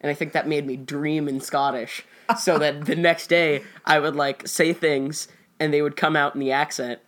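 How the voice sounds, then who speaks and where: A man's voice: on the high side, level tone, moderate pace, fairly bright tone. A young American man chatting casually as an actor guest on a podcast. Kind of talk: casual chat.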